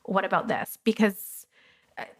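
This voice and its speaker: A woman's voice: mid-range voice, measured pace. Woman, business co-founder, chatty interview guest, frank and casual. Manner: chatty and candid, with frequent ums. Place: coworking studio, fireside chat before an audience.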